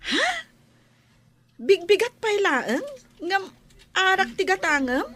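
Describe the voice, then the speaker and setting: A woman's voice: very high voice, animated, slow pace, pushed and bright, exaggerated voice. A woman playing a polite, respectful young city woman in an audio drama. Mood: polite, curious. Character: polite young unmarried city woman tending aunt's store. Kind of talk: Philippine radio-style audio drama acting.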